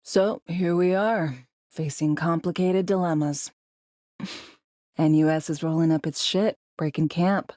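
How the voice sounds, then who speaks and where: A video game secret agent, female, tough and jaded. The voice sits on the low side and is recorded dry and close. The delivery is blunt, slangy and sardonic, with a world-weary edge. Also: fairly even intonation, takes it slowly, mellow, dry recording. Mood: wry, menacing. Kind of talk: video game character dialogue.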